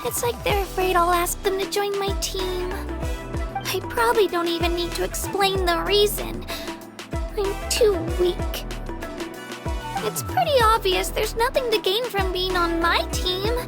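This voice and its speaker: A woman's voice: very high-pitched, measured pace. A woman voicing an earnest, humble young girl who hopes to become an adventurer, in a video game. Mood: shy, downcast. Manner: hesitant, humble, eager. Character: earnest, self-deprecating young girl, eager aspiring adventurer. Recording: background music under the voice.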